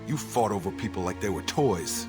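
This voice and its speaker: A man's voice: low-pitched, lively intonation, moderate pace. A young man playing a principled, bitter soldier son in a video game, blunt and accusing. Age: young adult. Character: bitter, principled soldier son confronting his disgraced father. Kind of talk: dramatic video game voice acting. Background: cinematic background music.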